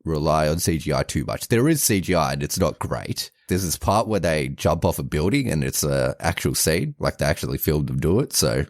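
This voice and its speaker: A man's voice: extremely low pitch, very animated, normal speed, few pauses, no echo, studio quality. A man co-hosting a podcast, giving a casual, rambling movie review in informal speech sprinkled with "like". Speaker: podcast co-host.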